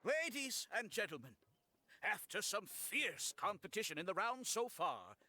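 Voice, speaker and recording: High bright flamboyant tournament showman. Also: full character voice. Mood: showy excitement. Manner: grandiose, theatrical announcing. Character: flamboyant wealthy male tournament host, showman. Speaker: tournament announcer. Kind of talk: video game character acting.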